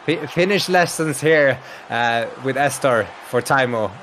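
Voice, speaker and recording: A man's voice: medium pitch, measured pace, fairly bright tone, slight background noise. Man commentating an esports hockey game. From Sweden. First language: Swedish. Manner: chatty, laughs often, reads out chat. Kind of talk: play-by-play commentary.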